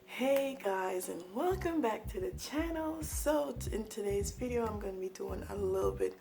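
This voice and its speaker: A woman with a raspy, hoarse voice from a cold, chatting casually to viewers in a home haul vlog, rambling with asides. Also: medium pitch, sing-song, normal speed, mellow, faint music behind. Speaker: home organizing vlogger.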